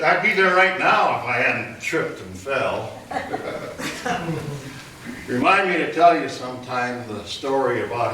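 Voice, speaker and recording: A man's voice: medium pitch, a bit bright, a little hiss or hum, natural voice. An actor playing a self-pitying male radio station janitor who grumbles and cracks jokes in a live radio play, recorded from a distance in an echoey hall. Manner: sulky, wisecracking.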